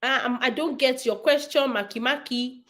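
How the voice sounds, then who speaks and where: A woman's voice: high voice, normal speed, fairly bright tone. A woman, hosting a casual livestream and answering viewers' nursing questions in an emphatic, repetitive way.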